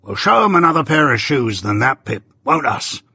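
A weathered old convict with a gravelly, husky voice, voiced by a male reader. He talks in broad rustic dialect, blustering proudly one moment and dropping low the next. Dry, close audiobook sound. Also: sing-song, moderate pace, bright, forceful tone, no echo, heavily acted. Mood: boastful. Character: rough old male ex-convict, gruff, doting. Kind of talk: audiobook character voice.

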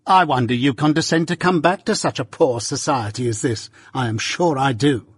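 A man voicing a sharp-tongued, grasping married woman with a curt, exclaiming manner in a storybook. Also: medium pitch, normal speed, husky, no echo, studio quality, lightly acted. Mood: scornful.

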